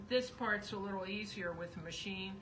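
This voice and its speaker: A woman teaching sewing live, chatty and rambling, thinking aloud as she works, with her voice going hoarse. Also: medium pitch, normal speed. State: losing her voice. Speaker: sewing instructor. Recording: noisy background.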